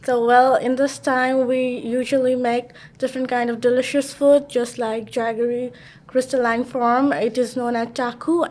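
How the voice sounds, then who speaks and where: A woman's voice: on the high side, a little mellow. A young woman from Nepal, a first-year student, explaining her customs in a podcast interview. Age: young adult. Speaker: student guest. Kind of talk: casual interview.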